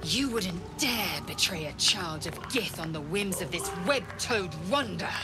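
A woman's voice: lowish voice, animated, measured pace, music in the background. An actress playing a stern, scornful alien warrior woman in a fantasy game, clipped and contemptuous. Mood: indignant. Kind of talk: fantasy video game acting.

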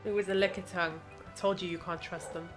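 A woman's voice: lowish voice, fairly even intonation, brisk, soft background music. A woman with an English South African accent, chatting casually while co-streaming a game, playful and prone to humming along. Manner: playful, hums and chants along. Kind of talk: casual gaming chat.

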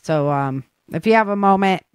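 A woman's voice: mid-range voice, expressive, moderate pace, clear, resonant tone, no echo, clean studio sound. A woman podcast host talking informally to her listeners, mixing news rundown with casual chat.

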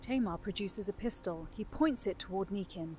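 A woman's voice: medium pitch, expressive, normal speed, heavy background noise. A woman giving scripted narration for a fantasy audio drama.

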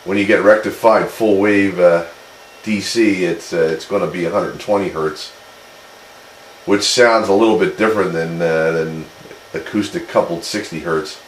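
A man chatting casually as a hobby radio operator, explaining things in a rambling, halting way. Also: sits low. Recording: roomy shack with steady background noise.

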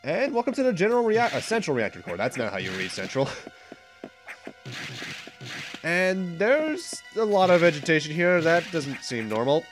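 A man's voice: on the high side, huge pitch swings, normal speed, long pauses. A young man with a stuffy nose giving chatty, casual commentary on a game as he plays, now and then correcting himself. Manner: chatty, self-correcting, occasional laughs. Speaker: let's play host. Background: game music.

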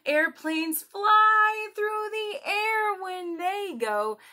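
Woman, 30s, children's story time presenter. Bright, very lively intonation, encouraging and exaggerated delivery. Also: very high-pitched, drawn-out words. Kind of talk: children's lesson, addressing young viewers directly. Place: small indoor room at home.

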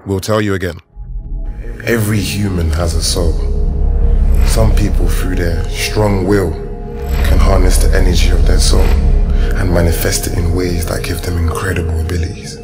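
Man as mentor, patient, knowing, lowish voice, expository comic drama, loud music. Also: flat delivery, moderate pace, close to an everyday voice. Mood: patient explaining.

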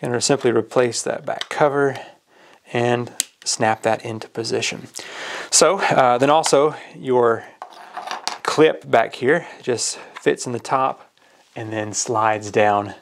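A man's voice: medium pitch, takes it slowly, creaky, slight background noise. A man explaining plainly, step by step, in a casual hands-on product walkthrough. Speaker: product reviewer. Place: indoors at a work table.

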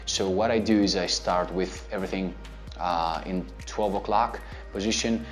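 A man in his thirties with a Greek accent, answering viewer questions about guitar in a relaxed, step-by-step way with plenty of fillers. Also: deep voice, moderate pace. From Greece. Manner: gestures with his hands while talking. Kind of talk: casual instructional talk to camera. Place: indoor studio with a plain grey backdrop. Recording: soft background music under the voice.

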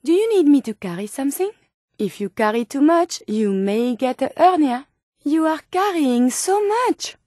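Game role: earnest female robot scientist, French accent, high register, precise formal diction, very dry sound. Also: sing-song, normal speed, a little mellow, no room sound. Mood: earnest, polite. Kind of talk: video game companion dialogue.

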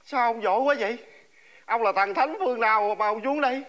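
A fawning male servant from a comic fairy-tale film, with a Southern Vietnamese accent. His voice is very high and forceful, and he repeats himself and stammers as he flatters his master. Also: a little fast. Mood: awed, flattering.

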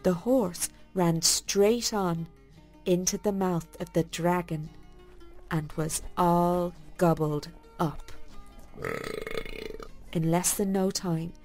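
A woman reads a bedtime tale for children. She is folksy and expressive, taking her time over each phrase. Faint music plays behind her. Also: fairly low pitch, slow, pauses often. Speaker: storyteller. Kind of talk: scripted bedtime story narration for children.

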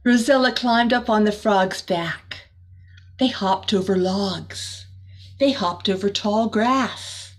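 A middle-aged woman reading a children's storybook aloud as a teacher, expressive and deliberate, with small asides to the listeners. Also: medium pitch. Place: small room indoors.

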